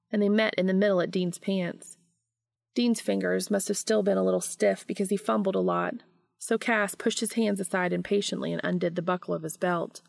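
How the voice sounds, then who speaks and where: A woman's voice: mid-range voice, creaky, dry recording, studio quality. A woman reading a story aloud as scripted audiobook narration. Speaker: audiobook reader of fan fiction.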